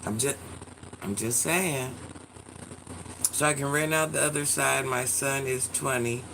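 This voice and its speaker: A man in his forties, hoarse from illness, chatting sassily with viewers on a livestream and reading out their comments. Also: medium pitch, fairly even intonation, a little slow, noisy background. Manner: sassy, chatty, addresses viewers by name. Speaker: livestream host.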